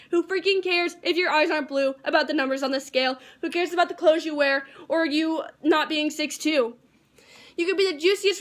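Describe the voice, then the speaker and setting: A high, youthful female voice, a girl in her teens, performs slam poetry at a rapid pace. She delivers it like a rehearsed piece, earnest and emphatic, as an amateur poet would. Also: a bit bright.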